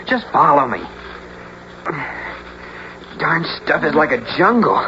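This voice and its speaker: A performer playing a bold, excitable teenage country boy in a radio drama, with folksy rural phrasing. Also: medium pitch, takes it slowly. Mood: determined. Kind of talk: 1970s radio drama acting and narration. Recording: muffled, hissy old radio recording.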